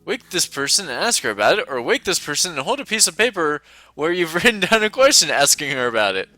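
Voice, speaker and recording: A man's voice: on the high side, big pitch swings, fast talker, bright, forceful tone, dry recording. A man reading a text adventure aloud to a friend in casual playthrough narration, playful and often laughing as he reads.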